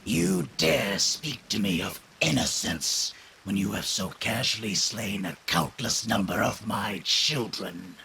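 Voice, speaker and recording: Gravelly, menacing villain. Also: male voice, fairly low pitch, animated, pushed and bright, full character voice. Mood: cold accusation. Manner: slow, menacing, theatrical. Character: menacing armored reptilian villain, vengeful and imperious. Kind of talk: video game voice acting. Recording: rain and noise behind the voice.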